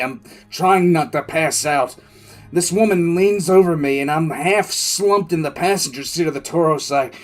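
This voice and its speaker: A man playing a shaken, battle-worn military commissar who tells his war story in halting, dramatic first person. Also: a little high, voice pushed up. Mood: dazed, urgent. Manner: halting, repeated phrases, dramatic emphasis. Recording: music under the voice.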